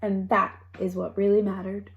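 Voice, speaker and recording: A woman reading a children's story aloud in an expressive manner, with playful asides to young listeners. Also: mid-range voice, moderate pace, lo-fi sound. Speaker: storybook reader.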